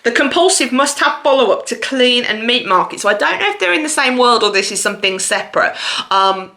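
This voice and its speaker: Woman, bright forceful voice, slightly high pitch, book vlogger, casual chatty monologue, effusive, expressive. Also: fairly quick. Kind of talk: casual chatty video monologue about books.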